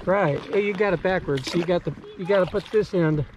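A man's voice: sits high, fairly quick. Older man, joking paddling instructor, action camera on a kayak, wind and water noise. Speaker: vlogger. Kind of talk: casual outdoor vlog chat. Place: kayak on a lake outdoors. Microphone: action camera on the boat.

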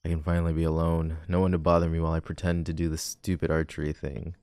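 A man's voice: a bass voice, monotone, fast, a little mellow, no room sound. A man playing a cocky, grumpy tall teenage delinquent boy in an audio roleplay, sarcastic, teasing and dismissive. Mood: sarcastic, relieved. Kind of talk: boyfriend ASMR audio roleplay.

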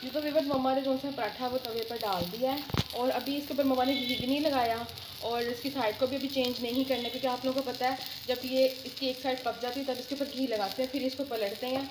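A woman's voice: high-pitched, fairly even intonation, brisk, background noise. A young woman hosting a casual home cooking vlog, chatting to viewers and explaining each step. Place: home kitchen.

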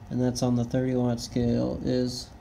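A man giving a casual, matter-of-fact hands-on equipment demonstration, speaking haltingly. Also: medium pitch, completely flat delivery, vocal fry, dark tone, a little hiss or hum. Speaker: presenter of radio equipment tests. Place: indoors at a wooden table.

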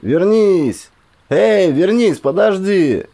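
A man's voice: sits high, expressive, slow pace, gravelly, voice pushed up, exaggerated voice. A man voicing an anxious young man calling out after his friends. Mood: pleading. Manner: shouting urgently.

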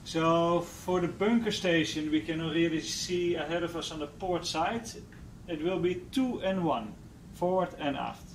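A man's voice: a little high, unhurried, reverberant, recorded from a distance, a little hiss or hum, rough recording. A male ship captain giving short, clipped docking commands by radio from the wheelhouse. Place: small ship's wheelhouse, indoors.

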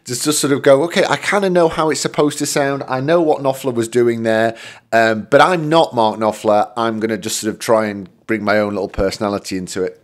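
A man's voice: mid-range voice, steady tone, fairly quick. A middle-aged man from the UK giving a casual guitar lesson in a small home studio, chatty and self-deprecating, with plenty of fillers. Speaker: guitar teacher. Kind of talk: casual spoken tutorial. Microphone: studio condenser mic in front.

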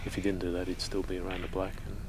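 Low flat voiced guy breaking down snooker shots, calm. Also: rapid-fire. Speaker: snooker co-commentator. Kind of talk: live stream commentary. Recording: noisy live stream audio.

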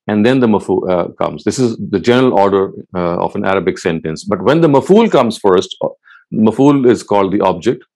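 A man in his sixties giving a measured academic lecture from a studio, answering questions and explaining patiently, with frequent "uh" pauses. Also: on the low side, darkish tone, rough recording. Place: studio set with bookshelves and wall lamps.

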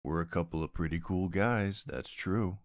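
A man's voice: sits low, normal speed, no echo, clean studio sound, lightly acted. A man playing a flirty, laid-back gym bro in an ASMR roleplay, drawling and teasing in an easygoing way.

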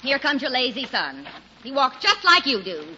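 An actress playing an exasperated, sharp-tongued colonial farm wife who nags, in 1940s radio style. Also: female voice, high-pitched, very animated, moderate pace, heavily acted. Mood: disdainful. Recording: muffled old 1940s radio recording, faint noise.

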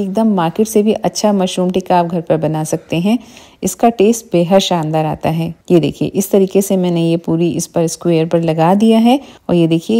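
A middle-aged woman hosting a cooking show from her home kitchen, calmly explaining each step of a recipe and often inviting the viewer to look. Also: lowish voice. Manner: calm, patient explaining with frequent "dekhiye" prompts. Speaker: cooking channel host.

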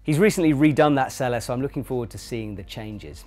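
A man hosting a climbing show and introducing films in a brisk, upbeat way. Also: medium pitch, over music. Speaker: show host. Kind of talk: scripted presenting.

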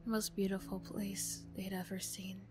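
A woman narrating a story in a soft, hushed, breathy ASMR style with measured phrasing. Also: medium pitch, little pitch movement, over music. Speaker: narrator and voice performer.

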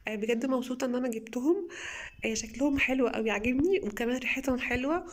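A young woman with an Egyptian Arabic accent, slightly hoarse from a cold, chatting enthusiastically to viewers as she reviews beauty products at home. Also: sits high, very fast. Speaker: beauty vlogger. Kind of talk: casual product haul review.

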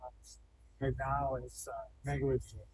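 A man's voice: medium pitch, monotone, unhurried, a little hiss or hum, rough recording. A man in his thirties interviewing a farmer in a large barn, conversational and prompting with questions. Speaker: interviewer for a silo company. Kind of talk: on-site interview. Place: indoor cattle barn, large, open-sided.

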